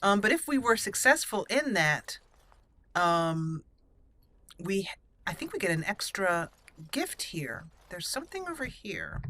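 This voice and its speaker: A woman's voice: medium pitch, big pitch swings, measured pace, a bit bright, a little hiss or hum. A young woman casually commenting on her game as she plays, chatty and giggly, rambling with fillers. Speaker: let's play host.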